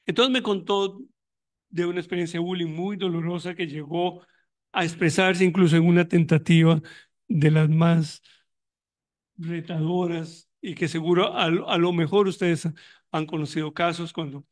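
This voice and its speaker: A high-voiced man speaking at an academic conference. He speaks reflectively, restarts phrases often and searches for words aloud as he goes. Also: steady tone, moderate pace. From Costa Rica. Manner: thoughtful, many restarts and fillers, reflective. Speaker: panelist on migration in education; university educator running tutoring programs.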